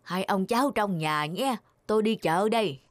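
A woman playing a stubborn, grumbling elderly grandmother with a soft heart in an animated film. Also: medium pitch, normal speed, dry recording, lightly acted. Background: music.

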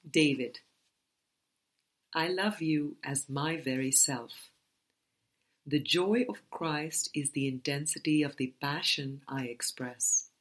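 A woman reading a spiritual book aloud as scripted narration, in a deliberate manner with pauses between phrases. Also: deep voice, fairly bright tone. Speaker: audiobook reader.

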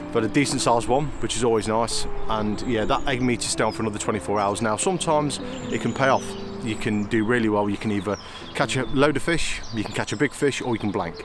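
Low-voiced man vlogging outdoors. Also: quick pace, hardly any pauses, over music. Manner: chatty, repeats "it really does". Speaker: angler filming his own fishing trip. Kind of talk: casual outdoor vlog narration. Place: outdoors on a fenland drain bank.

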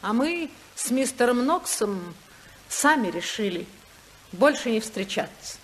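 A woman's voice: on the high side, animated, measured pace, fairly bright tone, low-quality recording. An actress playing a proud, defiant married daughter in a 1950s radio play, composed and pointed in her dramatic delivery. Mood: cool defiance. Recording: hissy 1950s radio recording.

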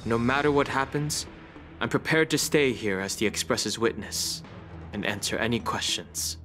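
A man's voice: medium pitch, moderate pace, a bit bright, soft background music, natural voice. A man playing a reserved, steadfast, serious young companion in a video game. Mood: steady, serious.

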